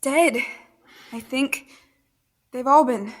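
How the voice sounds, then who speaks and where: A woman's voice: high voice, takes it slowly. An actress playing a frightened young woman trapped in a man's body, pleading and desperate.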